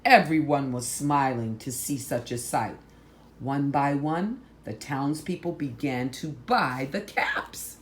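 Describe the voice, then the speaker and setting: A woman reading a picture book aloud to children, phrasing deliberately and stressing words for drama. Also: very deep voice, noisy background. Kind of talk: slow, expressive picture-book read-aloud.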